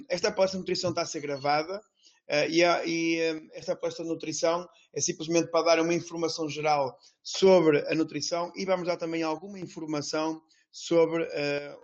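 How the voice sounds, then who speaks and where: Man, host, monotone, raised pitch, hesitant, dull lo-fi audio. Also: brisk. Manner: hesitant, many fillers. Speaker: host introducing the speaker. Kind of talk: online presentation introduction.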